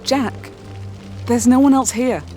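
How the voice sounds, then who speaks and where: A woman's voice: fairly high pitch, fairly even intonation, slow pace, dark tone, soft background music. A woman playing a shaken, earnest English teenage goth girl in an audio drama. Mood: pleading, insistent.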